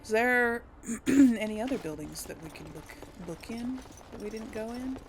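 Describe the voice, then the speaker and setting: A woman's voice: on the high side, animated, normal speed, slight background noise. A woman giving casual role-play video game commentary, thinking aloud in a hesitant, conversational way. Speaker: gaming video host and player.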